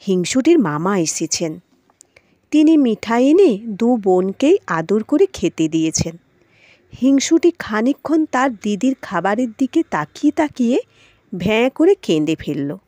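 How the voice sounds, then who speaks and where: A woman storyteller narrating a children's story in an expressive, dramatic and emphatic way. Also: fairly high pitch, no room sound.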